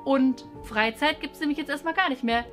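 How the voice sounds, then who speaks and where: A woman's voice: high-pitched, normal speed, a bit bright, soft background music. A woman acting a stern, exasperated mother in a family web series, insistent and scolding. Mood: firm. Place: small furnished rooms indoors.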